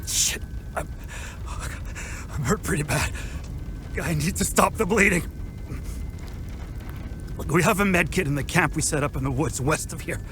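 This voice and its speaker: An actor playing a wounded, rattled soldier in pain, speaking urgently and haltingly in a video game. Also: male voice, fairly high pitch, noisy background, close to an everyday voice. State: hurt, in pain. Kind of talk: naturalistic video game dialogue acting.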